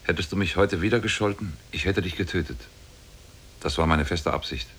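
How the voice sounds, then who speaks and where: A man's voice: deep voice, fairly quick, a bit bright, slight background noise. An actor playing a mighty, solemn demon sorcerer in love with his captive, in a 1950s German radio drama. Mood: suspicious, grave. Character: mighty demon sorcerer, lovestruck captor of a woman. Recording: old 1950s radio play on cassette.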